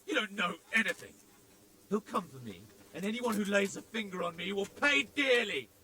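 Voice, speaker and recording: A man's voice: high voice, very animated, normal speed, bright, forceful tone, full character voice, low-quality recording. An actor playing a defiant, intense young bandit held captive, fiercely loyal to his lord, in a video game. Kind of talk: dramatic video game acting.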